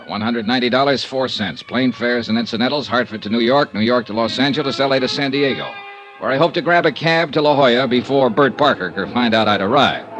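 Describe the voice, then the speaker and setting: An actor playing a wry, world-weary American insurance investigator in a clipped 1950s radio style. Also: male voice, mid-range voice, natural voice. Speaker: lead actor and narrator. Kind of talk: 1950s radio detective acting. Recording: vintage radio drama with music.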